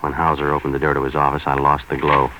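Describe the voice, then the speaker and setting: An actor playing a cynical, impatient American private detective in 1940s radio noir, clipped and deadpan, on a vintage recording. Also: male voice, rumbling low, quick pace, highs cut off, slight background noise. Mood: deadpan, sardonic.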